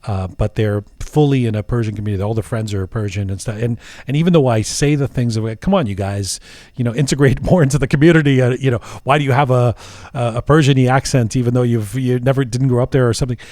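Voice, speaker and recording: A man's voice: medium pitch, fast, darkish tone, dry recording. A man hosting an interview podcast, musing aloud with many restarts and false starts. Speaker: podcast host.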